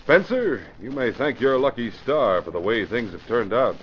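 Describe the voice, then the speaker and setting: An actor playing a brisk, authoritative police commissioner, commanding and emphatic, in 1940s radio crime drama. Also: male voice, medium pitch, lively intonation, close to an everyday voice. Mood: brisk, stern. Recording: muffled, noisy vintage radio recording.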